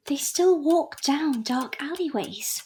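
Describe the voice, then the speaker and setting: Woman playing a high-voiced prim Victorian daughter. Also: animated, slow, a little mellow. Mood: prim, teasing. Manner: formal, precise, earnest. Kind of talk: audio drama acting.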